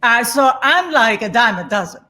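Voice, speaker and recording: A woman's voice: fairly high pitch, expressive, moderate pace, pushed and bright. A woman in her sixties from Somalia, a guest telling her story candidly and laughing easily. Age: 60s. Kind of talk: reflective interview conversation.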